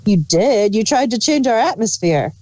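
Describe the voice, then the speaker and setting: A woman's voice: on the high side, big pitch swings, normal speed, a little mellow. A woman chatting casually as a review co-host, chipping in with brief, offhand remarks. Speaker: podcast co-host reviewing audio drama. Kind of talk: casual chat.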